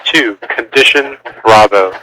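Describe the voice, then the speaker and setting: A man's voice: on the low side, measured pace. Guy doing military controller radio calls, short clipped procedural phrases, clicky static all over it. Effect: radio transmission. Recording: noisy military air-band radio.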